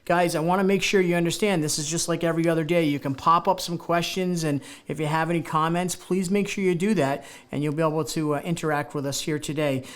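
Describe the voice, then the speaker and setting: A male talk show host with a slightly high voice, speaking in a TV studio. He sounds eager and rambles, circling back and stumbling over his words. He keeps the show moving with excited introductions. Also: fast, hardly any pauses. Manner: enthusiastic, rambling, many fillers and restarts. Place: local TV studio.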